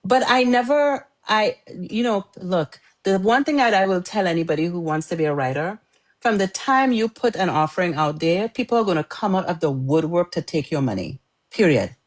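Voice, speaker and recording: A middle-aged woman from Trinidad with a low-set voice talks through her experience as a guest author. She is chatty and animated, laughs easily and tosses off quick asides. The delivery is loose, friendly interview talk. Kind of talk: casual interview chat.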